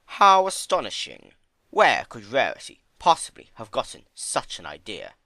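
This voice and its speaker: A man's voice: mid-range voice, huge pitch swings, normal speed, pushed and bright, no room sound, voice pushed up, heavily acted. A man voicing a sly, sardonic male chaos creature in a silky, sneering, theatrical manner for a story reading. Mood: mock astonishment.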